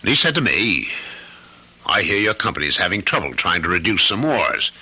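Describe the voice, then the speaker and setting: An actor playing an evasive, smooth-talking executive husband, hesitant and placating, in an old-time radio drama. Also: male voice, sits low, sing-song, moderate pace, bright, forceful tone, heavily acted. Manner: hesitant, placating, self-justifying.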